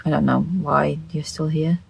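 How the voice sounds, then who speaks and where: A makeup video creator with a croaky, just-woken voice, chatting casually to camera in a scatterbrained, rambling, sweary way and repeating phrases. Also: medium pitch, steady tone, moderate pace.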